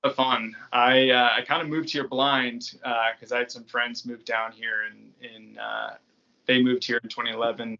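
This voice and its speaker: A man's voice: medium pitch, flat delivery, moderate pace, bright, forceful tone, muffled recording. An American man from New England chatting casually as an interview guest, in an easygoing, rambling way. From New England, United States. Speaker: podcast creator, interview guest.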